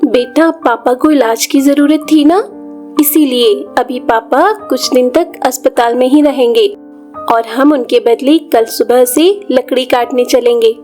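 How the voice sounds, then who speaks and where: Woman acting a high-pitched worried village mother. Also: unhurried, darkish tone, faint music behind. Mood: reassuring. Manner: earnest, emotional, melodramatic. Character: worried, caring mother and devoted village wife.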